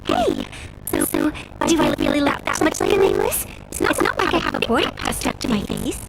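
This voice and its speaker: A woman's voice: high-pitched, wildly swooping pitch, a little fast, heavy background noise, heavily acted. A woman voice-acting a bold, perceptive young traveler in a video game. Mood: cheeky. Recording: music behind the voice.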